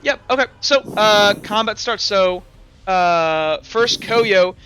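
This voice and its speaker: High, bright-voiced male game master. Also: expressive, slow, a little hiss or hum. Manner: talks through rules and dice aloud, often correcting himself. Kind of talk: narrating and refereeing a tabletop game.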